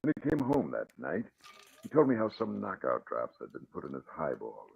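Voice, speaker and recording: Very deep, gravelly old commissioner. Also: male voice, wildly swooping pitch, normal speed, heavily acted. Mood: grim, resigned. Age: older. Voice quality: gruff, gravelly. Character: troubled older police commissioner, resigned and blunt. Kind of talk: 1940s radio acting. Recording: muffled, narrow-band old radio recording.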